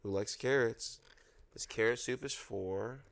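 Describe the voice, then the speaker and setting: A man reading a children's picture book aloud, pausing to prompt listeners for guesses. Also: medium pitch, creaky, fairly bright tone. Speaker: storybook reader at a children's story time.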